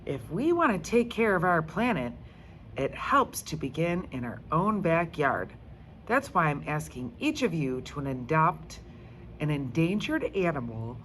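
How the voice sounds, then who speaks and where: An earnest schoolteacher read by a woman addresses a class in a children's storybook. The delivery is instructive and encouraging, slow and very melodic. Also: deep voice, very animated, fairly bright tone, a little hiss or hum, exaggerated voice. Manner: instructive, emphatic.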